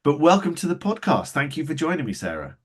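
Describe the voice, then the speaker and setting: A man's voice: on the high side, wildly swooping pitch, fast, breathy edge. A man hosting a podcast, asking questions in a friendly, hesitant way with many restarts. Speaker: podcast host. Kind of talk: casual interview chat.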